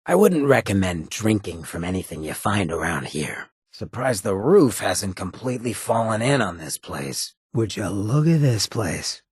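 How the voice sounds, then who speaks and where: An actor playing a roguish, wry ghoul in a video game, with a raspy, gravelly voice and streetwise drawl. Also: male voice, fairly low pitch, big pitch swings, unhurried, few pauses, no echo, studio quality, full character voice. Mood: wry, musing. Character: roguish, wry male ghoul mayor, chem-loving companion. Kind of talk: video game companion dialogue.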